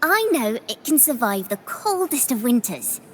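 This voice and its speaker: A woman's voice: on the high side, very animated, measured pace, slight background noise. A woman voicing a curious, eager little girl in a video game, earnest and inquisitive. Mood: earnest, dreamy.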